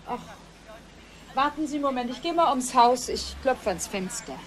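Middle-aged housewife, higher voice. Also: female voice, lively intonation, moderate pace, a little hiss or hum. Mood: helpful. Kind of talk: German radio crime drama acting.

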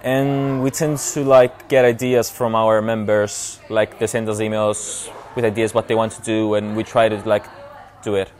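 A man's voice: lowish voice, level tone, moderate pace, darkish tone, a little hiss or hum. A young man with a Cypriot accent giving casual, hesitant interview answers full of fillers. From Cyprus. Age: young adult. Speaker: student society president. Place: large indoor student lounge.

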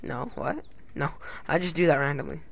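A man's voice: fairly high pitch, normal speed, creaky, fairly bright tone. A young man hosting a casual gaming commentary, joking, swearing and slipping into silly put-on accents. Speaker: gamer channel host.

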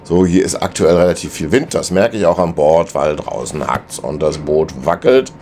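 A man's voice: low-pitched, lively intonation, normal speed, darkish tone, slight background noise. A middle-aged man vlogging from the cabin of his sailboat, explaining route planning in a chatty, colloquial way with many filler sounds. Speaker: sailing vlogger. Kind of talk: casual explainer over screen maps. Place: cabin of a sailboat at anchor, wind outside.

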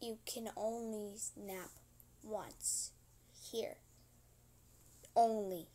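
A woman voicing a stern, fussy robot nap attendant from a horror game, speaking in a deliberate, warning manner. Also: medium pitch, fairly even intonation, mellow. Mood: reluctant, cautioning. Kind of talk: hobby character voice lines. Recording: noisy homemade recording.

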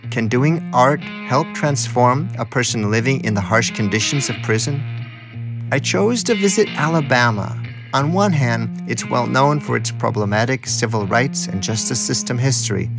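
A man delivers scripted radio documentary narration in a measured, storytelling manner. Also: mid-range voice, expressive, over music, poor audio.

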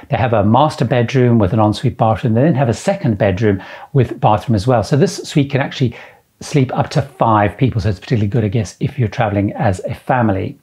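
Enthusiastic middle-aged man, slightly husky. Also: mid-range voice, brisk, a little mellow. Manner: enthusiastic, lists features with repeated superlatives. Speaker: cruise travel vlogger. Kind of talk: presenting to camera, touring suites. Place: indoor room with framed ship posters. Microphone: lapel mic, camera framed at chest.

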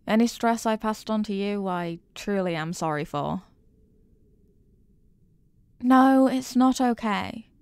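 A woman's voice: mid-range voice, clear, resonant tone, no echo. A woman playing a doting, intensely possessive girlfriend in an intimate ASMR roleplay, cooing tenderly with pet names. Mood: caring, earnest.

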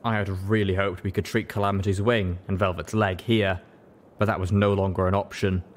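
A man's voice: sits low, flat delivery, brisk, slight background noise. A man reading scripted story narration as an audiobook narrator. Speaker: audiobook reader.

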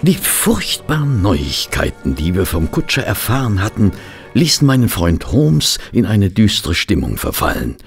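An actor playing a fussy gentleman who is a detective's companion and narrator in a German audio drama. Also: fairly low pitch, huge pitch swings, gravelly, soft background music, exaggerated voice. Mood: measured, sombre. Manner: flustered in dialogue, measured and literary when narrating.

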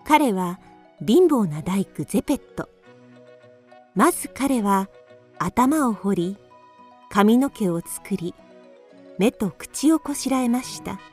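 A woman narrating a children's radio drama in a calm, clear storytelling manner. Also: medium pitch, slow pace, long pauses, faint music behind.

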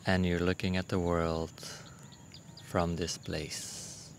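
A man leading a guided meditation in a gentle, unhurried manner, with long pauses between short phrases. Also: low-pitched, flat delivery, a little hiss or hum. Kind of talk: guided meditation, soothing instruction.

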